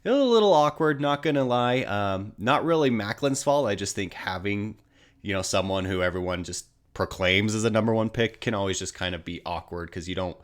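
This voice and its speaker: A man's voice: fairly low pitch, animated, a little fast. An American man getting over a cold, slightly nasal, giving casual hockey news commentary in a hesitant, rambling way.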